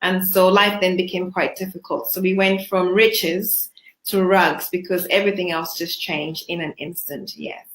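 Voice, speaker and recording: A woman's voice: fairly low pitch, little pitch movement, normal speed. Earnest woman from zambia, echoey room. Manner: earnest, reflective, with frequent fillers. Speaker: guest. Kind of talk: personal testimony in an interview.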